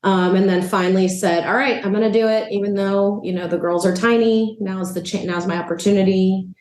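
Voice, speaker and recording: A woman from El Salvador, a real estate agent, talking in a relaxed, chatty interview with lots of "um" and "you know". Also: mid-range voice, a little fast, echoey room. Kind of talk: casual interview chat. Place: a rental apartment.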